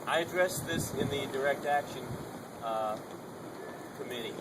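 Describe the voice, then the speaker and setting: A man's voice: mid-range voice, measured pace. A man with a strong, projecting voice giving an unamplified speech at an outdoor protest gathering, emphatic and urging, driving his points home through repetition. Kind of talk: unamplified open-mic speech. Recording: noisy outdoor recording.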